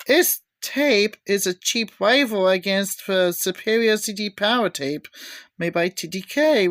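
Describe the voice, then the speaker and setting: A woman giving a casual, unscripted show-and-tell with halting, rambling delivery. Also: mid-range voice. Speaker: video maker showing cassette tapes.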